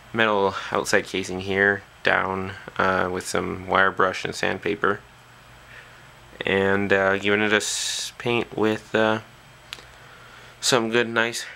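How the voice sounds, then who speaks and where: A man's voice: fairly low pitch, creaky, sharp tone, a little hiss or hum. A man talking casually and off the cuff as a hobbyist presenter, hesitantly thinking aloud at an indoor workbench on a camera's built-in mic. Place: small indoor workshop at a bench.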